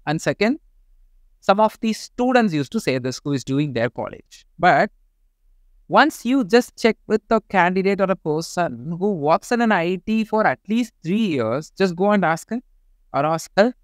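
Indian-English man, thirties, high voice, tech vlogger, dead-dry close mic. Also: normal speed, no room sound. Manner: animated, gestures a lot while explaining. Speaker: tech YouTuber. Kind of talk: casual talk to camera. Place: small home studio room.

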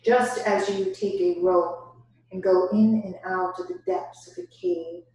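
A woman leading a guided yoga nidra meditation as instructor, giving deliberate instructions broken by pauses. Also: mid-range voice, dark tone. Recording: very echoey room, distant microphone.

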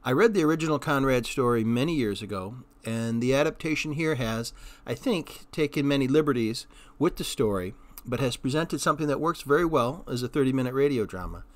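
A man's voice: medium pitch, lively intonation, a little fast. A man hosting radio reruns, giving an informal spoken introduction. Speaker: host of old-time radio reruns.

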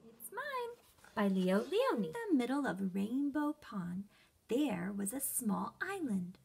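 High, animated woman reading to children. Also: slow pace. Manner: clear emphatic phrasing addressed to children. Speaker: storybook reader for children. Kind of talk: expressive read-aloud for young children. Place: room at home.

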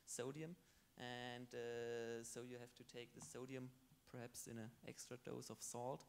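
A man's voice: mid-range voice, little pitch movement, unhurried, gravelly, slight background noise. A man on a conference panel explaining an answer in a matter-of-fact way, on a handheld mic in a large hall. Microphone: handheld microphone.

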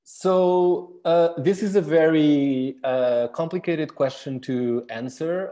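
A man's voice: medium pitch, dark tone. A man in his thirties from Portugal, speaking English with a Portuguese accent, explaining his design work thoughtfully with many fillers and restarts. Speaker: architect and exhibition designer. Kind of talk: recorded talk answering questions.

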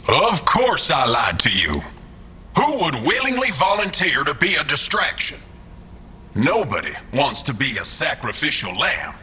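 A gloating male mastermind talks down to his hirelings in an audio drama. His voice is very bright and sharp, swinging widely in pitch, with theatrical mock courtesy and faint noise behind. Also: wildly swooping pitch, unhurried, heavily acted. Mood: taunting. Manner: theatrical, falsely polite, chuckling condescension. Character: smug, mocking male mastermind employer as hologram. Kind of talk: scripted audio drama acting.

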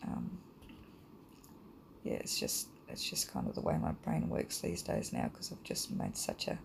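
Lady w/ a croaky hay fever voice, rambly art lesson, lots of ums, bit of faint hiss. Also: very deep voice, unhurried. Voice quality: croaky, gravelly. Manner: relaxed and rambling, many ums. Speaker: art teacher. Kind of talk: casual drawing tutorial voice-over.

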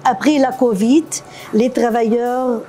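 Casting: woman in her fifties, Lebanese accent, soft mellow tone, interview guest, faint music behind. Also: a little high, fairly even intonation, slow. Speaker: guest, café co-owner. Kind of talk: conversational interview answers. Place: indoor café.

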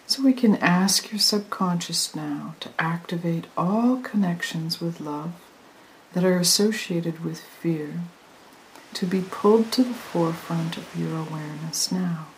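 Female meditation guide: low-pitched, soothing, very unhurried, breathy near-whisper, trance-induction delivery. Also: low-quality recording. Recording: hissy recording with steady noise.

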